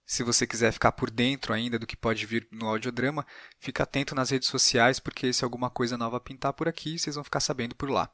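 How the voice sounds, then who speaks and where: A man's voice: medium pitch, fairly even intonation, fast talker, pushed and bright, no room sound. Brazilian male podcast host, dry close sound. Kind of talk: conversational podcast hosting and sign-off.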